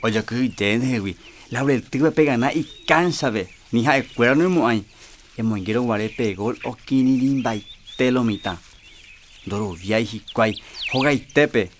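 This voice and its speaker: A man playing a loud countryman in a radio drama, with a Paraguayan accent. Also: medium pitch, moderate pace, close to an everyday voice. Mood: loud, animated. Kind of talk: radio drama acting in Jopara. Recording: faint outdoor noise, birds and animals.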